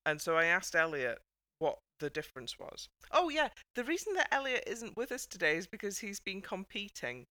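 Woman podcast host chatting casually, bright smooth voice, really dry sound, bit wry. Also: mid-range voice, very animated, normal speed, no echo. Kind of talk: casual panel chat.

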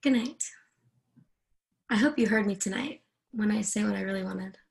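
A woman's voice: medium pitch, moderate pace, creaky, a little mellow, natural voice. A woman playing a seductive, controlling married woman in a screenplay read, teasing and commanding by turns. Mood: cold, irritated.

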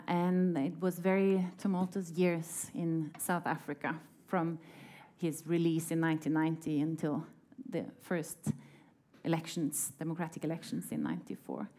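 Woman interviewer, somewhat low voice, warm and mellow, measured. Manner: measured, sets out context before asking. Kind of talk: interview questions.

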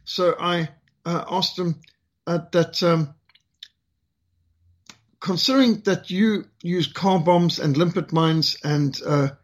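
Husky older man, Rhodesian accent. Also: a little high. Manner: earnest, halting with frequent fillers. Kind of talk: personal storytelling talk.

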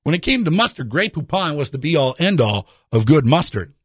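A male radio host with a very animated, swooping delivery. He talks in a jokey, conversational monologue, speaking straight to the listener with rhetorical questions. The sound is close and dry. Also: on the high side, normal speed, breathy edge, no echo.

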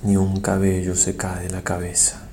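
Man, priest, mellow dark voice, unhurried, solemn devotional delivery, short phrases with pauses, faint background noise. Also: deep voice, monotone. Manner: reverent, measured, pausing between short phrases. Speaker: priest leading a guided meditation. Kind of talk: guided prayer meditation, solemn and devotional.

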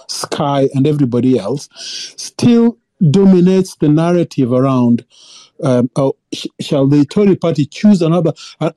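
Halting, dark-voiced caller man. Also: a little high, dry recording, rough recording. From Africa. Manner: halting, many repeated words and restarts. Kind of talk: impassioned phone-in opinion.

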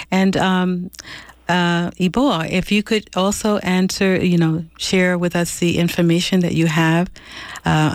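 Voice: medium pitch, no room sound. Radio host in a dry studio, chatty. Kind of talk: radio interview hosting.

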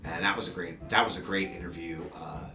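A man's voice: low-pitched, very animated, a little fast, gravelly, roomy sound, recorded from a distance, music in the background. A man who works as a podcaster and journalist, talking casually about past interviews in a rambling way with many fillers and restarts.

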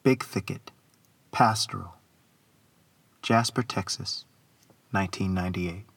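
A man's voice: low-pitched, unhurried, vocal fry, a bit bright, slightly rough. A man reciting his own poetry dramatically, in an incantatory, rhythmic delivery with repeated phrases. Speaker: poet reading own work.

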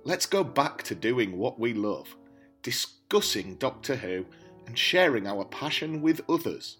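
Medium-pitched man on a podcast. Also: very animated, measured pace, a bit bright, soft background music. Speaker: podcast guest co-host. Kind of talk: casual chat and amateur script read-through.

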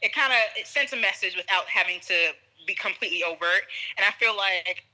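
A woman guest telling a travel story in casual chat, animated, acting out what people said. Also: mid-range voice, a little fast, poor audio. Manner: animated storyteller, acts out quotes, laughs often.

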